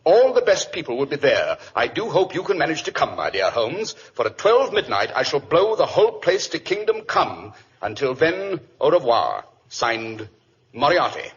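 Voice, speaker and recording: An actor playing a confident, urbane British detective with a precise, theatrical manner in 1940s radio drama style. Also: male voice, fairly high pitch, very animated, normal speed, breathy edge, exaggerated voice. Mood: measured, dramatic. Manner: precise, theatrical, self-assured. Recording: dull vintage radio recording with hiss.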